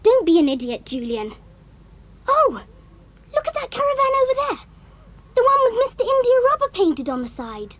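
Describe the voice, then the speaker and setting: A woman's voice: very high voice, huge pitch swings, normal speed, long pauses, fairly bright tone, exaggerated voice. A woman voicing a forthright, observant child in a children's audio drama. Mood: scolding, curious.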